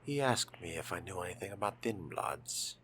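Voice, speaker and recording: A man role-playing a vampire in a game in a hushed, wry, theatrical murmur with a gravelly, whispery grain. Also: low-pitched, lively intonation, normal speed. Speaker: player voicing a vampire in a game. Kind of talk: hushed in-character role-play. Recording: noticeable background noise and game ambience.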